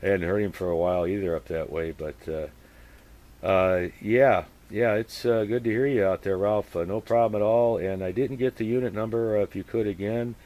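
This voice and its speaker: A man chatting casually as a radio operator, using procedure words and speaking haltingly as he thinks aloud. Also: on the low side, steady tone, a little mellow. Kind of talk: casual two-way radio chat with call-and-response procedure words.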